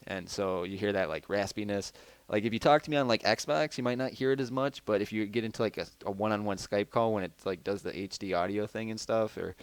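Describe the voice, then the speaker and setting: A man with a deep, raspy baritone hosts a casual podcast and answers listener questions in a loose, rambling way. His voice sits low, and the headset recording sounds close and dry. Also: brisk, no echo. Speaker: podcast host.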